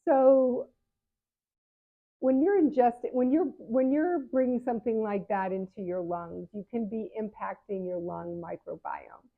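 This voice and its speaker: A woman's voice: on the high side, unhurried. 70 yr old woman chatting advice to camera, frank, restarts a lot, sounds like a phone line. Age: 70s. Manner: conversational, self-correcting, frank. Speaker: patient advocate and coach. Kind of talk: casual advice talk to camera.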